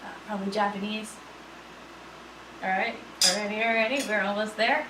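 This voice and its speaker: A woman's voice: mid-range voice, steady tone, moderate pace. Echoey noisy room, middle aged woman doing a cooking stream, rambly n playful, says stuff over and over. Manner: playful, rambling, repeats words and phrases. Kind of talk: casual livestream chat while cooking. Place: small indoor kitchen room. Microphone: distant, several feet away. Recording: roomy echo, distant mic, background noise.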